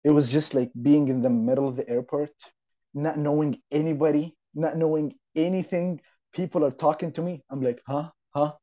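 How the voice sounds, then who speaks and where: A man's voice: a little high, fairly even intonation, normal speed. A man of twenty-two from Saudi Arabia with an Arabic accent, telling his own story casually and informally as a podcast guest. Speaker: podcast guest, university student. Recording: slightly dull lo-fi audio.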